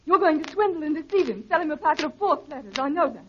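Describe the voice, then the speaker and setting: An actress playing an anxious, defiant young gentlewoman in 1930s radio style, urgent and earnest. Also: high-pitched, lively intonation, fast talker. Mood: accusing. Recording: muffled 1930s radio recording.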